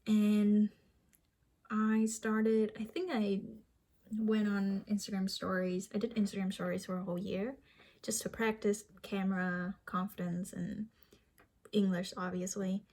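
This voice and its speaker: A young woman with a light Vietnamese accent talks to the camera in an easy, rambling way and often restarts her sentences. Her voice is slightly breathy and husky, at an ordinary middle pitch. She sounds self-deprecating and casual. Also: flat delivery, unhurried, warm tone. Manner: chatty, self-deprecating, with frequent restarts and small laughs. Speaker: vlogger.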